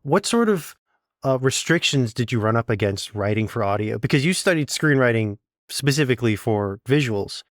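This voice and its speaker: A man interviews in a casual, conversational style. His voice sits at an average pitch. The recording is dry and close, with very little room sound. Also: animated, moderate pace, no echo.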